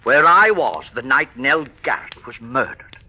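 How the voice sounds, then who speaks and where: A man's voice: mid-range voice, lively intonation, unhurried, bright, forceful tone. A man playing a proud, bitter middle-aged actor husband in an old-time radio thriller, speaking in a florid, declamatory way. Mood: bitter, resolute. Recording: vintage radio recording.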